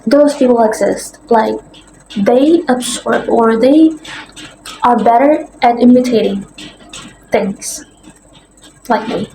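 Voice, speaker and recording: Woman, indonesian accent, higher voice, earnest advice vlog, roomy echo, faint hiss. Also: dark tone. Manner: earnest, encouraging, searching for words. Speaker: language vlogger.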